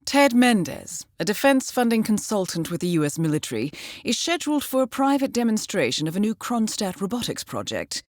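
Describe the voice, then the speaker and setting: An actress playing a composed, dryly witty intelligence handler in a video game, with a formal, precise, understated way of briefing. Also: female voice, medium pitch, big pitch swings, a little fast, dry recording, clean studio sound, close to an everyday voice. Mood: brisk, composed.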